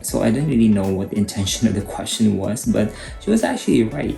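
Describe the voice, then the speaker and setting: Gravelly-voiced Filipino man giving advice. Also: lowish voice, very animated, a little fast, dark tone. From Philippines. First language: Cebuano. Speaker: YouTube host, HR coach. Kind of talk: scripted advice talk to camera. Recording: echoey room with faint guitar music.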